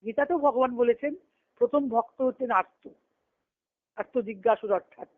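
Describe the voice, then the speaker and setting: A woman's voice: a little high, normal speed, dry recording. Muffled phone-line woman giving spiritual discourse. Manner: didactic, explaining terms phrase by phrase with repetition. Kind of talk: devotional scripture discourse. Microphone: conference call line. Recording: muffled lo-fi conference-call phone audio.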